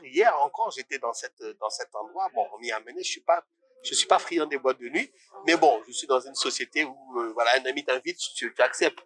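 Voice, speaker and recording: A man's voice: medium pitch, a bit bright. A man from Congo, a musician talking as a guest in a casual interview, passionate and rising to indignant questions.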